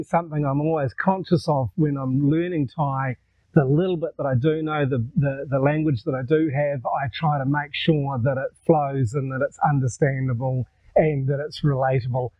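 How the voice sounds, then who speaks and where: A man in his sixties with a New Zealand accent, telling stories to camera in a relaxed, conversational way on a lapel mic outdoors. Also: a little high, dry recording, phone-quality sound. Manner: relaxed, conversational, gestures while talking. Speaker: vlogger. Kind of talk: casual storytelling to camera. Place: outdoors in a garden.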